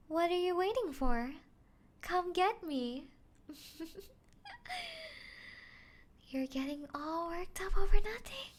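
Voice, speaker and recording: A woman voicing a seductive, mocking, possessive vampire in an ASMR roleplay, teasing and taunting in a breathy voice that slips into whispers. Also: high voice, full character voice. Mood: taunting, amused. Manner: teasing, taunting, slow breathy delivery with whispers and soft chuckles.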